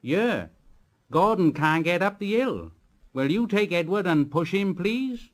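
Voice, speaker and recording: Brisk railway porter, slightly raised voice, voiced by a man. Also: high voice, very animated, pitched above the performer's own voice. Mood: urgent. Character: plain-spoken male railway porter.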